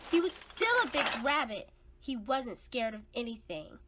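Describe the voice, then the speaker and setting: A woman's voice: fairly high pitch, very animated, moderate pace, heavy background noise. A woman narrating a children's read-aloud expressively, with dramatic, suspenseful emphasis. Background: background music.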